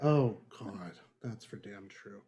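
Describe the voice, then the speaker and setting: A man's voice: fairly low pitch, expressive, slow, a crowd in the background. A man hosting a book club podcast, chatting casually and joking in a wry, exasperated way. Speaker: podcast host.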